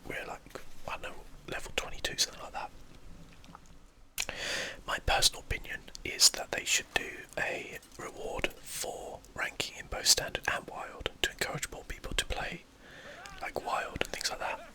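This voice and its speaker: Casting: game streamer for ASMR, fully whispered, bright and airy, casually thinking through each move. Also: moderate pace, rough recording. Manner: whispering throughout, breathy and unvoiced. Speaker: gaming video host. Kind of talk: whispered ASMR gameplay commentary.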